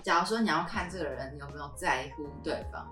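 A woman's voice: deep voice, fast, distant mic, over music. A young woman co-hosting a podcast in casual chat, recorded in a roomy space away from the mic. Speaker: podcast co-host.

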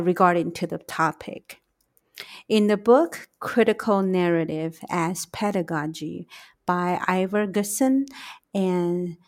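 Slow-talking Taiwanese woman podcaster. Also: medium pitch. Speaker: podcast host, English teacher. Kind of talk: solo reflective podcast monologue.

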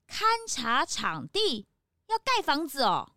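A woman voicing an excitable, blunt and curious young detective's sidekick in a children's audio drama. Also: high-pitched, slow, heavily acted. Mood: surprised, doubtful.

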